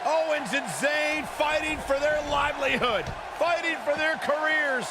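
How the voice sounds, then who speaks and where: A man calling wrestling play-by-play in an excited, emphatic shout, repeating phrases for effect. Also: very high-pitched, unhurried. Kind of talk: excited sports commentary. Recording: loud noisy arena crowd. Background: roaring arena crowd.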